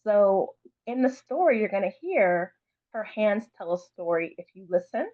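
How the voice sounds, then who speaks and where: Female children's author, expressive storytelling delivery, mid-range voice, tinny band-limited recording. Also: medium pitch, normal speed. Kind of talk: read-aloud storytelling and informal introduction.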